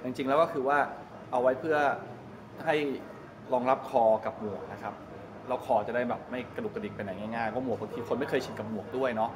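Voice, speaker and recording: A man's voice: mid-range voice, fairly quick. A middle-aged man, chatting casually and explaining things to a camera as he gives a walk-through tour. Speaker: vlogger giving a tour. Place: large open-sided go-kart pit hall. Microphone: 360 camera at arm's length. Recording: roomy echo, loud ambient noise.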